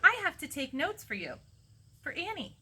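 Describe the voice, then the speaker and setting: A woman's voice: high-pitched, big pitch swings, moderate pace, sharp tone, a little hiss or hum, pitched above the performer's own voice, exaggerated voice. A woman voicing an eager, awestruck schoolboy in a storybook, wide-eyed and given to exclaiming.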